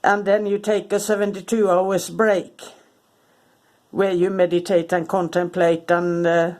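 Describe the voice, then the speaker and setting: Sniffly woman talking haltingly. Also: on the low side, little pitch movement, a little mellow. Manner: hesitant, many ums and restarts. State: congested with a cold, sniffling. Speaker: spiritual content creator. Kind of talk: casual informal talk to camera.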